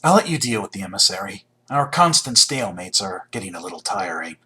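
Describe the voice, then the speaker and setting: Stern game rival, lowish sharp voice, terse, dry, distrustful, confrontational. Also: male voice, very animated, a little fast, exaggerated voice. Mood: weary, firm. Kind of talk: video game voice acting.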